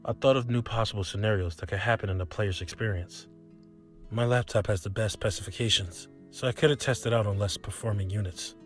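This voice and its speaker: A man playing a calm, earnest young programmer love interest in an otome game, composed and gentle. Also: on the low side, fairly quick, soft background music, close to an everyday voice. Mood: calm, focused.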